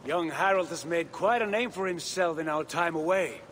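Man acting an eager, higher-voiced Viking adventurer. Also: normal speed, fairly bright tone, a little hiss or hum, close to an everyday voice. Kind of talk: video game cutscene acting.

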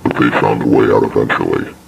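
A man voicing an ominous, accusing horror narrator, speaking slowly and deliberately with a gravelly voice. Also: fairly even intonation, background noise, heavily acted. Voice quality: gravelly, low. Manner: slow, menacing, deliberate. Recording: loud music over voice.

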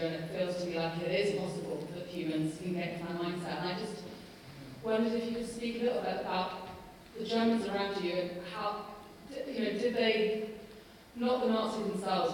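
A woman's voice: mid-range voice, steady tone, fairly quick, a little mellow, slight background noise. Hesitant woman asking from the audience. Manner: hesitant, thoughtful, many ums. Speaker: audience member. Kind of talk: asking a question. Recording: echoey hall from far off.

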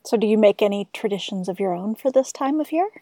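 A woman's voice: medium pitch, animated, fast talker, warm tone, no echo. A woman hosting a radio interview show, asking questions and addressing the audience. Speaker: radio host.

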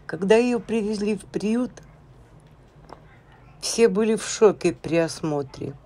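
A woman's voice: medium pitch, darkish tone. A woman reading a grave voice-over narration about a rescued dog.

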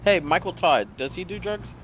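A man's voice: sits high, lively intonation, normal speed, fairly bright tone. A man improvising as a law officer in an online game, chatting in a joking, folksy way. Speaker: roleplayer playing a law officer. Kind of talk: improvised game roleplay, casual chat. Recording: faintly noisy game voice chat.

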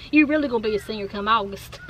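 A woman in her forties with a Southern US accent, telling stories in an animated, chatty way to viewers outdoors in a golf cart. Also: on the high side, fast, a little hiss or hum. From North Carolina. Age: 40s. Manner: animated storyteller, addresses viewers as y'all. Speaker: co-host. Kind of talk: casual storytelling chat. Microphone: fixed camera in front.

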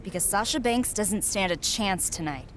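A woman voicing a determined young female rookie wrestler in a video game, answering calmly and confidently with dry replies. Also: medium pitch, normal speed, natural voice. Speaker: player character.

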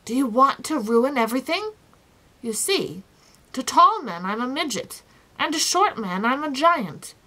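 Woman acting fussy self-important man, storybook character, chirpy, very bright delivery, swooping pitch. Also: big pitch swings, unhurried, heavily acted, low-quality recording. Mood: impatient, confiding. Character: ordinary-sized man, proud, cheerful, fussy self-styled giant.

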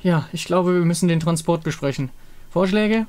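A man's voice: high voice, normal speed, a little mellow, natural voice. Man acting a firm, earnest warrior woman. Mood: practical. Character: earnest, determined female warrior sentinel.

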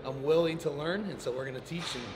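Young male coach, fast instructive patter, recorded on a camera mic over heavy gym noise. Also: fairly high pitch. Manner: encouraging, instructive, quick-talking. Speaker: bodybuilding coach. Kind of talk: casual gym coaching talk. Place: large indoor gym. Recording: gym ambience noise behind.

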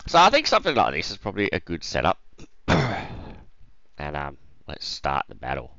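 Brief: male let's-play host, croaky today, deep but lively delivery, rambly and hesitant. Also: moderate pace, dry recording, muffled recording. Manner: rambling, thinking aloud with many ums. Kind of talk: casual game commentary.

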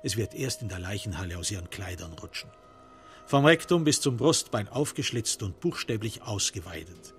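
A man narrating a scripted crime radio drama in a measured, literary and factual way. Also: medium pitch. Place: studio.

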